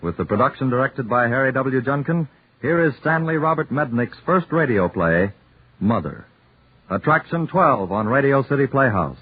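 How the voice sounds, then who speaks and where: A man's voice: medium pitch, very animated, normal speed, slightly rough, dry recording. A man announcing and narrating in a dramatic, scripted 1940s radio style. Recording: tinny 1940s radio with music behind.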